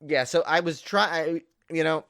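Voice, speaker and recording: A man's voice: on the high side, moderate pace, sharp tone, clear, resonant tone, no echo, studio quality. A man co-hosting a horror film podcast in casual chat, rambling and jokey as he talks. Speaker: podcast co-host.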